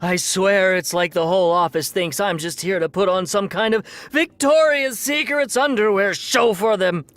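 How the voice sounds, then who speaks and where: Fussy self-conscious office admin, highish voice, close dry sound, comedy audio drama. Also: male voice, moderate pace, few pauses, fairly bright tone, no echo. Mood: flustered, indignant. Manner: flustered, fussy, indignant.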